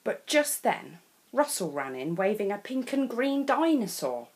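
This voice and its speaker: A woman from England with an English accent reading a children's picture book aloud as a storyteller. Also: mid-range voice. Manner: slow, expressive read-aloud.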